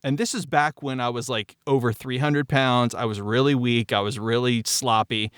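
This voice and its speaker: A man's voice: mid-range voice, steady tone, normal speed, fairly bright tone, clear, resonant tone, no room sound, studio quality. A man in his forties telling behind-the-scenes stories in a candid, emphatic way, repeating phrases for stress. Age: 40s. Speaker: audio drama creator discussing the show behind the scenes. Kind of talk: animated conversational storytelling.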